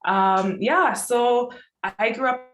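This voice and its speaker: A woman's voice: on the high side, slow, fairly bright tone, roomy sound. A young woman from Southern Ontario introducing herself and her poems on a video call, chatty and laughing often, with many ums and likes. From Southern Ontario, Canada. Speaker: poet reading at a festival. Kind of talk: casual intro then poetry reading. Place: home, joining remotely.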